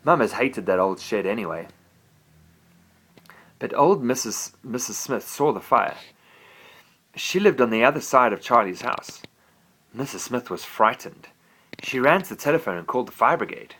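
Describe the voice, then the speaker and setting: A father reading a bedtime story aloud to his child, gentle and animated. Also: medium pitch, moderate pace, husky.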